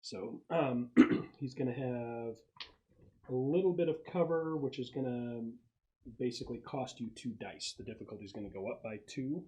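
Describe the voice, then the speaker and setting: A man's voice: medium pitch, normal speed, a little mellow, lo-fi sound. Man running a tabletop game, conversational. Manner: conversational, explanatory. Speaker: game master. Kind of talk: explaining rules and narrating a tabletop game.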